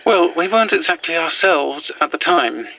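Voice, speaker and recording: A woman's voice: fairly low pitch, animated, normal speed, creaky, background noise. A woman with a British accent playing an anxious, earnest former teacher on the run in a horror audio drama, hesitant and apologetic. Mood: cautious, pleading.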